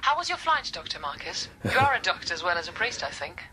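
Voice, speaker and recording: An actress playing a brisk, probing, coolly polite woman scientist in a radio drama, heard through a telephone. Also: on the low side, sing-song, sharp tone, full character voice. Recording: telephone line with clear background noise.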